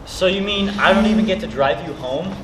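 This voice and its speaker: A man playing a good-natured, battered high school quarterback, earnest and wry, in an amateur stage play. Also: brisk, natural voice. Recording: echoey stage with faint noise.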